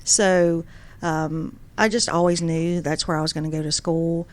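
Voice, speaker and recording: Woman in her forties, guest on a radio talk show, low-set voice, earnest and friendly storyteller. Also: steady tone, a little slow. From Georgia, USA. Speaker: guest, superior court judge. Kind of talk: casual interview.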